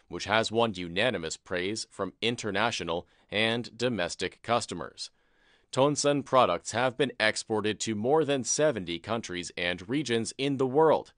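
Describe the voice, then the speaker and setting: A man reading a scripted promotional narration in a formal, measured manner, as the narrator of a corporate video. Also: fairly low pitch, fairly bright tone, dry recording.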